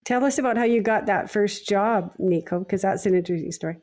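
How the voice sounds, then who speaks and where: A woman's voice: medium pitch, fairly quick, darkish tone. A woman co-hosting a business podcast, earnest and enthusiastic. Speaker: podcast co-host. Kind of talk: casual podcast chat.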